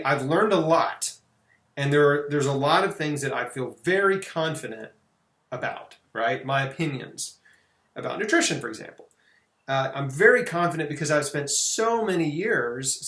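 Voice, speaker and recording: A man's voice: fairly high pitch, fairly bright tone. A middle-aged man chatting informally and anecdotally as an interview host. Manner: informal, anecdotal, punctuated with "you know". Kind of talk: casual conversational interview. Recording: roomy, echoing sound.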